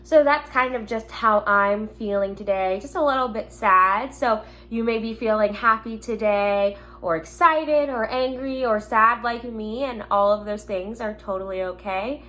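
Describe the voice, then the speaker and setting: A woman teacher speaking gently and simply to young children, reading a picture book aloud at home with casual asides. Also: a little high, measured pace, hardly any pauses. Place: at home in an apartment. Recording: noticeable background noise, home recording.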